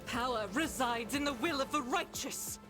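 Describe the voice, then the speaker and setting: A woman's voice: sits high, moderate pace. An actress playing a stern, fervent warrior woman of the faith in a game, declaiming righteously. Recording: game audio under loud music.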